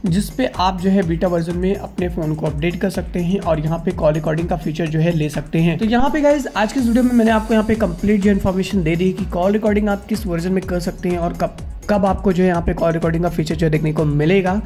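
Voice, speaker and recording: A man's voice: sits high, quick pace, dark tone, faint music behind. A man hosting a casual phone tutorial, explaining things repetitively and addressing viewers informally as friends. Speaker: tech tutorial host.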